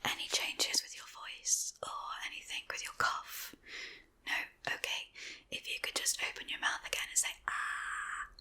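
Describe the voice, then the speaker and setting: A woman whispering softly and gently through an ASMR medical exam roleplay, reassuring the listener as her patient. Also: normal speed. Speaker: ASMR creator playing a doctor.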